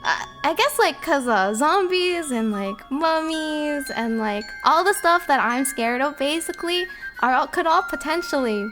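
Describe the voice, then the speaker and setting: Woman, high voice, casual chat, rambling, hedging podcast guest. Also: lively intonation, unhurried, music in the background.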